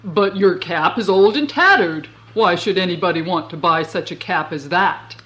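A woman voicing a greedy, pompous old king who barks orders in a loud, haughty voice. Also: fairly low pitch, normal speed, dull audio, close to an everyday voice. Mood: imperious.

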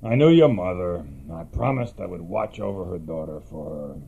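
Man playing a gravelly, grudging old seer. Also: sits low, expressive, normal speed, warm tone, dull audio, full character voice. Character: grudging, cryptic old male seer of Greek myth. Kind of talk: dramatized storytelling of a myth.

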